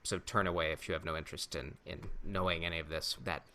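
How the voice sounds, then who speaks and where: A man hosting a podcast in casual chat, talking in a halting, stop-and-start way. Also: low voice, steady tone, bright, forceful tone. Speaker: podcast host. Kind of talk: casual chat about Star Wars rumors.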